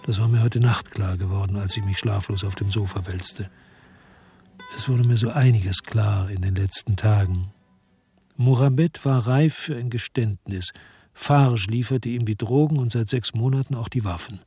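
An actor playing a weary, dogged French detective who narrates his noir radio crime story in a measured, laconic way. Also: lowish voice, mellow, husky, faint music behind. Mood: weary, reflective. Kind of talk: first-person narration in a noir radio drama.

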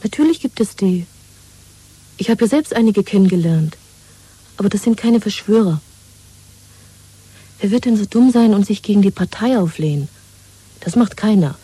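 Very soft, breathy defiant lover. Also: female voice, mid-range voice, animated, normal speed, pauses often, dry recording, rough recording. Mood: intimate, persuasive. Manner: intimate, breathy, often half-whispered. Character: pragmatic, mocking female lover, secretly defiant of the regime. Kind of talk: 1970s German radio play acting.

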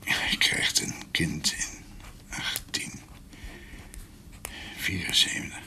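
An actor playing a dying old doctor in a radio drama, weary and in pain, speaking in halting, whispered, broken phrases. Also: male voice, sharp tone. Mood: labored, solemn. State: in pain, struggling for breath.

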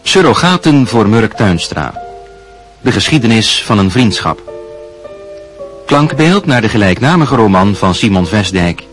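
A man's voice: on the low side, very animated, unhurried, pauses often, faint music behind. A man formally announcing the title and credits of a radio drama.

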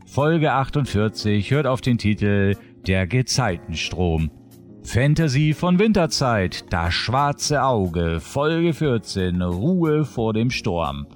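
A man's voice: medium pitch, big pitch swings, slow, faint music behind. A man presenting a roundup of new releases in scripted announcement narration.

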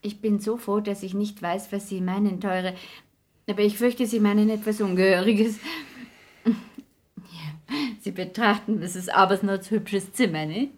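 A woman's voice: mid-range voice, fairly even intonation, a little slow, darkish tone, natural voice. Woman playing a chatty older society lady. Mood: chatty, genial. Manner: rambling, genteel, questioning tags. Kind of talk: 1960s German radio drama acting.

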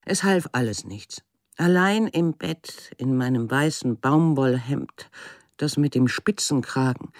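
A woman's voice: a bass voice, unhurried, a little mellow, no echo, studio quality. An actress playing an imaginative, haunted woman artist in a declamatory radio drama monologue. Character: imaginative, haunted woman artist narrating her fears and dreams.

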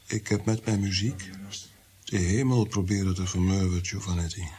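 A low-voiced, guilt-ridden nobleman played by a man in a radio play, bitter and menacing. He speaks theatrically and broods, with clear hiss behind him. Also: normal speed, warm tone, natural voice. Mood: brooding, anguished. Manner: brooding, theatrical, drifting into whispers. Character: tormented guilt-ridden prince-composer, bitter, menacing, fearing God.

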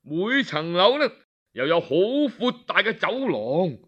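A man with a Cantonese accent telling a historical tale in an expressive, colloquial oral storytelling style. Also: high-pitched, takes it slowly, slightly rough, dull audio. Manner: expressive, colloquial, acts out dialogue. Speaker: storyteller narrator.

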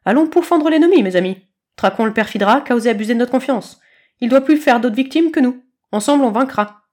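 A woman voicing a bold, excitable kitten who fancies itself a grand storybook adventurer. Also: a little high, brisk, voice pushed up. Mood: rallying, heroic. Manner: grandiose, exclaiming.

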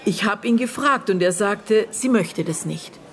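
An actress playing a prickly, guarded aunt questioned as a witness in a radio drama, hesitant in recollection and curt in reply. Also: female voice, mid-range voice, unhurried, natural voice. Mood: guarded, recollecting.

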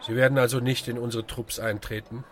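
Wry, probing man acted, mid-pitched voice. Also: flat delivery, fairly quick, slight background noise, lightly acted. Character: wry, calm, probing man organising volunteer squads. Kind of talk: radio drama acting.

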